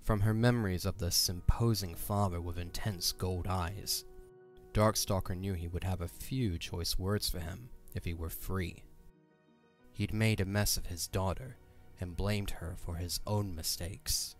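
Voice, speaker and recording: Deep-voiced male narrator over music. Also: steady tone, a little slow. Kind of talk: scripted dramatic story narration.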